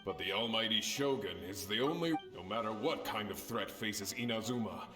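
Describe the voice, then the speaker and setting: A man's voice: lowish voice, normal speed, close to an everyday voice. A man as a valiant, echoing loyal samurai soldier. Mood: proud. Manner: earnest, declamatory. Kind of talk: video game voice acting. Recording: echoey voice under music.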